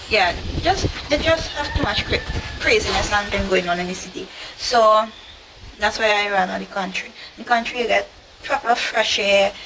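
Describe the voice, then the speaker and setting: Young woman, Trinidadian accent, chatty vlog answers, noisy background. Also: medium pitch. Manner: chatty, repeats herself, answers her own questions. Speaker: vlogger. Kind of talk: casual Q&A vlog. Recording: noisy home recording.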